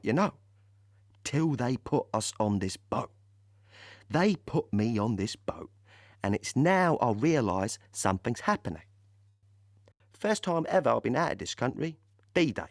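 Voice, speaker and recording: Audio play: cheerful young army driver, colloquial storyteller, highly animated delivery, dry sound. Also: male voice, dry recording. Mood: matter-of-fact. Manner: colloquial, ungrammatical, chatty storytelling with chuckles. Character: cheerful working-class young British army driver recalling D-Day. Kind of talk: dramatic monologue in an audio play.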